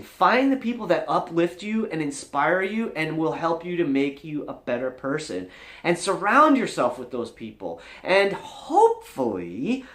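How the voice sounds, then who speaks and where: A man giving an earnest, emphatic motivational talk to camera as a vlog host, encouraging his viewers. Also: on the high side. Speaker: vlogger, channel host. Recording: roomy indoor echo.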